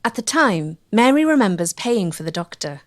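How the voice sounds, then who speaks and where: A woman's voice: mid-range voice, big pitch swings, moderate pace. A woman, delivering scripted documentary narration.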